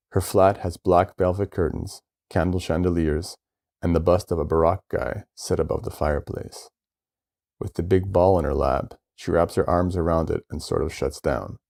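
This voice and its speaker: A man's voice: sits low, vocal fry, warm tone. A man reading a first-person short story in a conversational storytelling manner.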